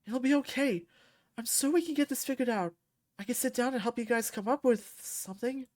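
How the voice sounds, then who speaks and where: A woman voicing a kind, supportive young man who gently reassures a friend. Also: fairly high pitch, fairly quick, no room sound, studio quality, natural voice. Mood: reassuring.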